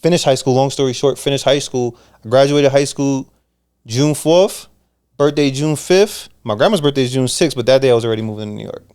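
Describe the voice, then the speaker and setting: Fast-talking slangy man telling his story. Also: mid-range voice, a little mellow, smooth. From Pennsylvania. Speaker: guest. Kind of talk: casual conversation.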